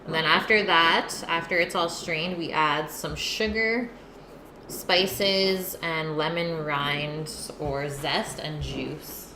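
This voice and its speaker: A woman's voice: sits low, slow. A woman hosting a casual cooking livestream from her home kitchen, chatting with viewers and answering their messages as she works. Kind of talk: casual cooking stream chat. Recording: echoey kitchen with background noise.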